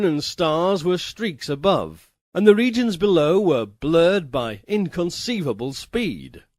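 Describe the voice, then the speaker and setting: A man narrating a literary first-person story as an audiobook reader, with a measured, theatrical, formal delivery. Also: a little high, no room sound, clean studio sound.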